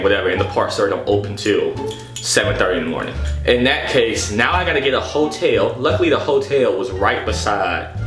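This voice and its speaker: A man telling a story casually and comedically, in an exasperated, animated, slangy way with plenty of swearing. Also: on the low side. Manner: animated, exasperated, profane, slangy with repeated "you know what I'm saying". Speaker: storytelling vlogger. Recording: echoey room with music behind.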